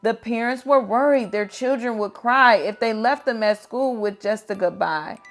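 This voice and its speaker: A woman reading children's picture books aloud in an expressive, dramatic and deliberate storytelling style. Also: fairly high pitch, faint music behind.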